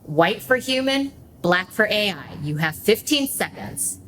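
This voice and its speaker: Woman playing a showy, slow-paced celebrity influencer. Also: medium pitch, big pitch swings, bright, forceful tone, slight background noise. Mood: commanding. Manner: showy, self-promoting, provocative. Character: gushing, catty female Los Angeles influencer guest celebrity. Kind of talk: radio play acting.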